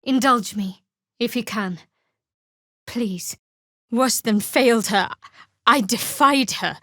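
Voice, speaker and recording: An actress voicing a guarded, sardonic young woman cleric in a video game, with a dry, wry and measured delivery. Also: fairly high pitch, pushed and bright, dry recording, exaggerated voice. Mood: troubled, earnest. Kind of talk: video game character voice acting.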